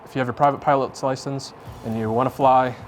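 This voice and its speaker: A man's voice: mid-range voice, steady tone, fast talker. A young American man giving an informal, explanatory tour as an air traffic controller, speaking in a plain, conversational way.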